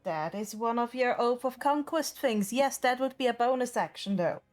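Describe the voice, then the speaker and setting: Woman dming a tabletop game, kinda high voice, firm, bit of music under it. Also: animated, normal speed. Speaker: game master. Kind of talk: running a tabletop roleplaying game.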